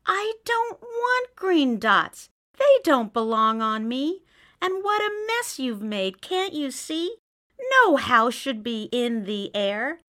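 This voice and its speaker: A cross little mouse scolds and makes demands in a very high, squeaky voice, as a woman reading a storybook puts him on. His pitch leaps and swoops with fussy indignation. He sounds every bit the bossy storybook mouse. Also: very animated, slow pace, fairly bright tone, exaggerated voice. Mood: indignant. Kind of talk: storybook character voice.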